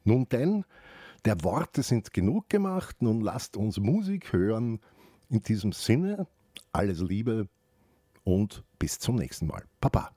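A man hosting a radio show, explaining things off the cuff in a halting, reflective way with asides. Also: fairly low pitch, sing-song, warm tone, no echo, clean studio sound. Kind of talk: unscripted spoken radio commentary with explanations.

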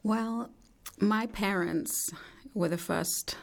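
A woman, a guest speaking reflectively in a studio interview, pausing thoughtfully. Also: mid-range voice, vocal fry. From England. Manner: reflective, measured, with thoughtful pauses. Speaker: artist and poet guest.

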